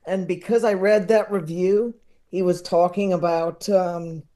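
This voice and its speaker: A man's voice: high-pitched, fairly even intonation, a little slow, mellow. A man in his thirties chatting as a podcast guest, rambling and repeating words as he gathers his thoughts. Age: 30s. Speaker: podcast guest, comedy expert. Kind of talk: casual chat.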